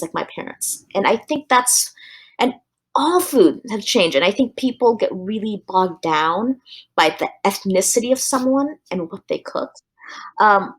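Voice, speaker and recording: A woman's voice: medium pitch, breathy edge. A woman chef from Los Angeles, talking casually in an interview, thoughtful with many fillers and restarts. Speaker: chef and restaurant owner, guest.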